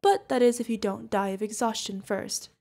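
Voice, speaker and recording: A woman's voice: fairly high pitch, normal speed, warm tone, close to an everyday voice. A woman playing a protective older witch mentor, fond, teasing and stern, in an ASMR fantasy roleplay. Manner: affectionate teasing, mock-exasperated. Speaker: voice actor.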